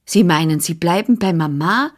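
A woman's voice: on the low side, lively intonation, normal speed. A woman reading the part of a young girl who answers in a clipped, defensive way. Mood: guarded. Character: young girl, guarded and stubborn.

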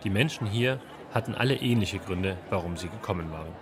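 A man's voice: sits low, expressive, a little fast, background noise. A man reporting for a radio culture magazine in scripted narration, reflective and posing rhetorical questions.

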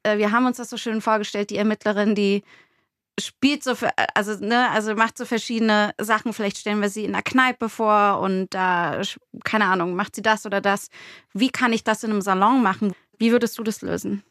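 A woman's voice: mid-range voice, steady tone, a little fast, fairly bright tone, no echo. German woman brainstorming, dry close sound. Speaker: co-host and aspiring radio play writer. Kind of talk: casual brainstorming chat.

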